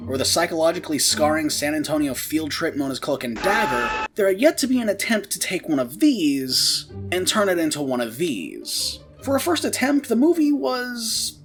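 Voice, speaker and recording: A man's voice: fairly high pitch, sing-song, normal speed, hardly any pauses, over music. A man delivering scripted commentary as the host of a video essay.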